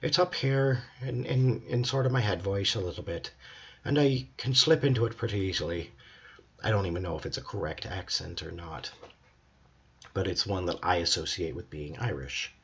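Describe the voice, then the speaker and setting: A hoarse young man, a drama student, talking earnestly and reflectively in a personal video journal. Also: deep voice, moderate pace, dull audio. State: hoarse, voice hard to hear.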